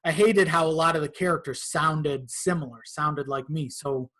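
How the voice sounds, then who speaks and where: A man's voice: fairly high pitch, normal speed, pushed and bright. Casual man talking about his creative work. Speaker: podcast guest, horror audio drama creator.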